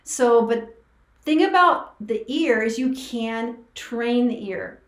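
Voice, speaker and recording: A woman's voice: fairly high pitch, expressive, slow pace. A middle-aged woman, a voice teacher, reacting to an old clip in chatty commentary that restarts and corrects itself. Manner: chatty, self-correcting, emphatic. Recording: echoey room.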